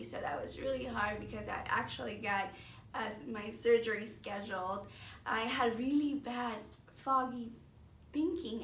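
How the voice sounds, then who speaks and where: A woman in her early thirties speaks in a fairly high voice, telling her own story earnestly and conversationally. She leans on intensifiers for emphasis. The sound is clearly echoey and a little far from the mic, with faint noise. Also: fairly bright tone. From born in Mexico City, grew up in the San Francisco Bay Area. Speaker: patient giving a testimonial. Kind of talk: personal testimonial, unscripted and conversational.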